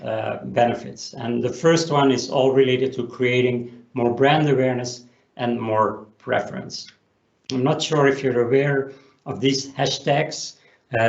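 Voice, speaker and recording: A man gives an explanatory business webinar presentation, often pausing on an uh. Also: medium pitch. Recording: roomy echo, dull lo-fi sound.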